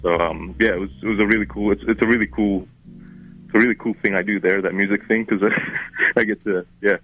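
A man's voice: on the low side, steady tone, a little fast, phone-quality sound, faint music behind. A man with an accent mixing Arabic and New Zealand English, a musician talking casually in an interview, rambling with many fillers and restarts. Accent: mix of Arabic and New Zealand. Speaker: musician guest.

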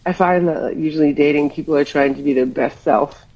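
A woman's voice: deep voice, a little fast, lo-fi sound. A woman from Hawaii, a musician guest in a casual interview, talking reflectively and rambling with fillers.

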